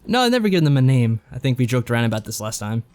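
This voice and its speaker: A man's voice: mid-range voice, very animated, very fast, darkish tone. A man co-hosting a podcast, talking casually and unscripted in an easygoing, agreeable way, as if thinking aloud. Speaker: podcast co-host. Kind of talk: casual unscripted podcast chat about games.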